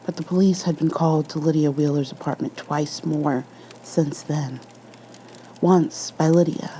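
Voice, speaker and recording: A woman's voice: deep voice, dark tone, a little hiss or hum, rough recording. A woman reading a scripted horror story as an ASMR narrator.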